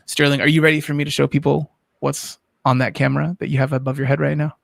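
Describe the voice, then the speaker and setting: A man's voice: on the high side, level tone, fast talker, gravelly, dry recording, clean studio sound. A man hosting a livestream in a casual, chatty way, addressing his guest and the viewers. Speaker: livestream host.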